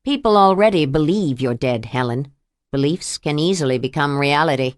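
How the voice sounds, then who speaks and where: A woman's voice: sits low, no echo, clean studio sound, voice pushed down, close to an everyday voice. A woman doing a cold, menacing man. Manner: soft, menacing, deliberate. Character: cold, controlling abusive man.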